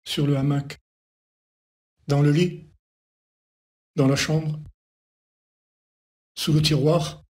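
A man reciting poetry as an incantatory litany, in short repeated phrases set apart by pauses. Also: a little high, flat delivery, darkish tone. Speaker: poet.

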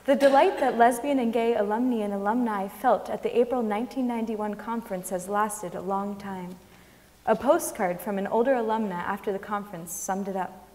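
A woman's voice: mid-range voice, a little fast, darkish tone. A woman giving a dramatic reading from the stage of a concert hall. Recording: concert hall with long reverb.

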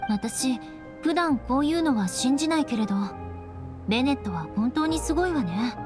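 High-voiced, poised young knight. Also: female voice, lively intonation, normal speed, over music. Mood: composed. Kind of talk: Japanese anime game voice acting.

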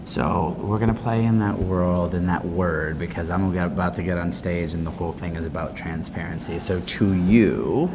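Low male voice, forties, conversational interviewer, explanatory, checking in often, clear background noise. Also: fairly even intonation, moderate pace, few pauses. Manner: explanatory, punctuated with "right?" checks. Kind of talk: conversational on-camera business interview. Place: hotel conference corridor, indoors. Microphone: clip-on wireless lapel mic.

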